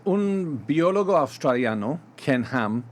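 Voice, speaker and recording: A middle-aged man with an American accent tinged with Mexican Spanish, speaking as a pastor guest in a radio interview, halting and full of fillers. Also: a little high, animated, a little hiss or hum. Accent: American with Mexican Spanish touches. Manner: halting, many fillers and restarts.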